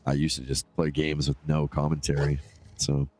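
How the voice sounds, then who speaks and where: A man with a stuffed-up, nasal voice giving casual, low-key, rambling solo commentary as he live streams a game. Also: completely flat delivery, normal speed, a little mellow. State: congested from allergies.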